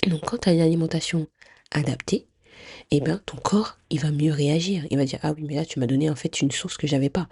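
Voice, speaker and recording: A woman's voice: deep voice, fairly quick, darkish tone, slightly rough, no room sound. A woman hosting a podcast, chatting directly to the listener with a stuffy nose, many fillers and restarts. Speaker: podcast host. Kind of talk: casual advice podcast monologue.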